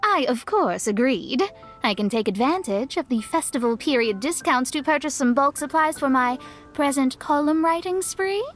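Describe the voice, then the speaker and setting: A woman's voice: high voice, lively intonation, moderate pace, fairly bright tone, soft background music. A woman voicing a proud, earnest young female astrologer in a video game, self-assured and a little prim as she explains herself.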